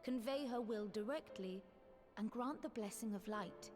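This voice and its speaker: A woman voice-acting a composed, learned scholar in a fantasy video game, speaking formally with measured, archaic diction. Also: mid-range voice, natural voice. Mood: measured, thoughtful. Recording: long hall reverb under game music.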